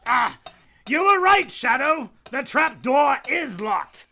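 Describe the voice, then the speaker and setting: Crazy vengeful poisoner for radio drama, super high gravelly voice, gloating slowly, very theatrical. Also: male voice, sing-song, pushed and bright, exaggerated voice. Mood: gloating, menacing. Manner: gloating, cackling, theatrical ranting. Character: gleefully deranged, vengeful male poisoner villain. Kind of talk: radio play acting.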